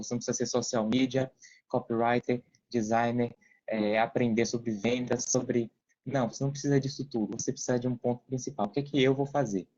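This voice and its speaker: Male guest, Brazilian, medium pitch, casual explanatory delivery, slightly dull call audio. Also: mellow. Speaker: interview guest, digital entrepreneur. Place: remote video call.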